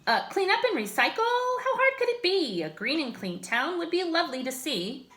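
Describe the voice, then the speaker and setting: A woman's voice: extremely high pitch, very animated, moderate pace, a bit bright, pitched above the performer's own voice, full character voice. A woman voicing cheerful, eager townsfolk in a rhyming picture book, exclaiming in a sing-song way. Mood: curious, breezy. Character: cheerful, eager townsfolk praising their clean town.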